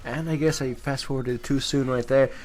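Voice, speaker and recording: A man's voice: mid-range voice, steady tone, normal speed, a little mellow. A man giving casual, off-the-cuff gameplay commentary, rambling and correcting himself in crude, slangy language. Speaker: gaming video commentator.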